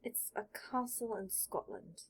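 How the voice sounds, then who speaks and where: A bookish, precocious wizard boy of eleven played by a woman narrator talks in a husky-edged voice. He is aloof and a little condescending, laying things out in formal, matter-of-fact audiobook dialogue. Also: medium pitch, lively intonation, slow, full character voice, rough recording. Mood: dismissive. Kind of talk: audiobook character voice.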